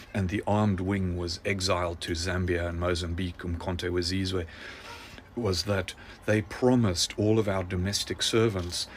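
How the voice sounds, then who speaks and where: A man with a very low voice talks in an impassioned, insistent way, stacking point on point. A faint hum of room ambience sits under his speech. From South Africa. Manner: earnest, insistent, building lists. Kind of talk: impassioned monologue.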